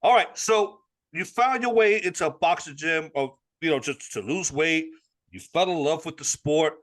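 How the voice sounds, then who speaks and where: A man's voice: a little high, moderate pace, fairly bright tone. A man hosting a boxing interview show, asking questions in an enthusiastic, chatty way. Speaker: interview host.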